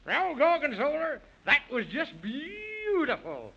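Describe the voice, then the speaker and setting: A man's voice: very high-pitched, wildly swooping pitch, takes it slowly, gravelly, bright, forceful tone, exaggerated voice. A man acting a whimsical, protective old Man in the Moon, fussy and exclamatory, in a 1930s children's radio play. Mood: pleased. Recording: muffled lo-fi 1930s radio recording.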